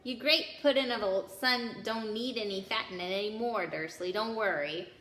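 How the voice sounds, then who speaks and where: A woman voicing a gruff, quick-tempered giant groundskeeper in a read-aloud storybook, barking and growling his indignant lines. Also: mid-range voice, fairly bright tone, lightly acted. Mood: gruff, genial. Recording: roomy indoor echo.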